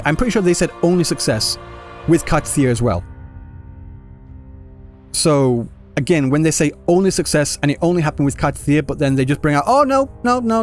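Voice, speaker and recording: A man livestreaming a game, talking casually to viewers in a rambling, stop-start way, on a close mic. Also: on the high side. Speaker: game streamer. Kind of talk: casual livestream chat answering viewer comments. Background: game music.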